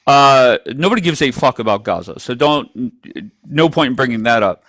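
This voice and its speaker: A man's voice: mid-range voice, lively intonation, moderate pace, fairly bright tone, no echo. Blunt, profane male stream host. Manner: blunt, profane, interrupting, sarcastic. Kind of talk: confrontational call-in talk.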